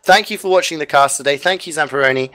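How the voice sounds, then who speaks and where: A man casting an online game match live, chatty and upbeat with frequent fillers, a bit hoarse as he loses his voice. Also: fairly high pitch, fast talker, bright, forceful tone. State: losing his voice. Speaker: esports caster. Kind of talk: live esports commentary and sign-off.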